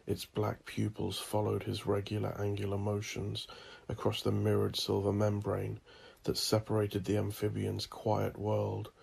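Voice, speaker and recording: Gravelly, husky man narrating gothic horror. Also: fairly low pitch, darkish tone. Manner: ominous, deliberate, theatrical delivery. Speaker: narrator of a horror audiobook drama.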